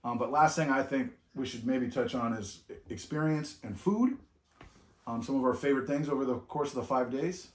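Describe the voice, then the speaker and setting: A man's voice: mid-range voice, normal speed. A middle-aged man from California, talking casually as a vlog co-host and rambling, with frequent restarts. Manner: rambling, with many restarts and trailing off. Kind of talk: reflective casual chat. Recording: echoey room.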